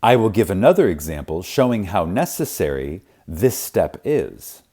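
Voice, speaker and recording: A man narrating a spiritual audiobook in a reverent, emphatic manner, with deliberate pauses. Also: sits low, big pitch swings, dark tone. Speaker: audiobook reader. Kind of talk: scripted narration of a spiritual self-help audiobook.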